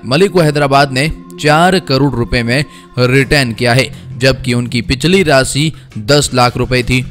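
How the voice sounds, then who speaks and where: Brisk male cricket news narrator. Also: mid-range voice, fairly bright tone. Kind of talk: scripted news voice-over. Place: voice-over, not in pictured place. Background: faint background music.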